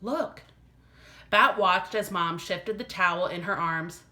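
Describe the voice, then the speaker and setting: Bright-voiced woman reading a children's story. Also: fairly low pitch, unhurried. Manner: careful, expressive phrasing for children. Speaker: elementary teacher reading aloud. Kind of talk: expressive scripted storybook narration.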